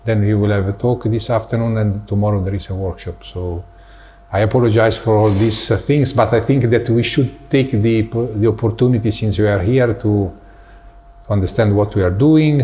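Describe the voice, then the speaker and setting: Italian-accented male interviewer, lowish voice, halting English, welcoming a visiting colleague. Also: dark tone, slight background noise. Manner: halting, searching for words in English. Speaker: interviewer, researcher. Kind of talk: academic interview conversation.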